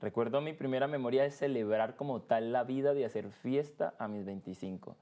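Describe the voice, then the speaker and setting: A man with a Colombian accent from Cali, hosting a casual podcast interview and slowing down to stress key words. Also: mid-range voice. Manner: expressive, slows down to stress words. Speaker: podcast host.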